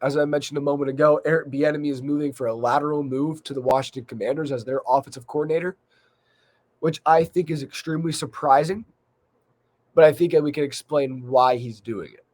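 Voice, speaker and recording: Guy doing solo sports commentary, kinda high voice, bit of a cold, conversational but punches the key points. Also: fairly even intonation, a little fast, a little mellow. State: slight cold, losing his voice a little. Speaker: sports podcast host.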